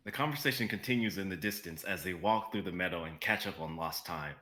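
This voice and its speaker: A man's voice: sits low, quick pace, pushed and bright. A young man narrating a script in a dramatic reading style. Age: young adult. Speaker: narrator and reader.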